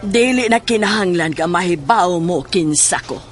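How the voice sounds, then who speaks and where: A woman playing a stern, probing questioner in a horror audio drama, speaking deliberately and insistently. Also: lowish voice, lively intonation, music in the background, close to an everyday voice. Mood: menacing, insistent. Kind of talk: Filipino audio drama acting.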